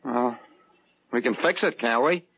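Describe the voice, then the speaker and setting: An actor playing an anxious, excitable stranded expedition member in a 1950s radio drama, with a questioning manner. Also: male voice, medium pitch, unhurried, slightly rough, highs cut off. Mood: excited worry. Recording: very noisy, band-limited 1950s radio recording.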